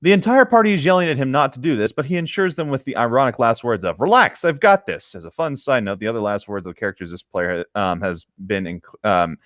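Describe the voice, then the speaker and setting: A man's voice: medium pitch, animated, fast, few pauses, dry recording, studio quality. A man who reads a written story aloud and drops into casual chat as a podcast host. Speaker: podcast host reading stories.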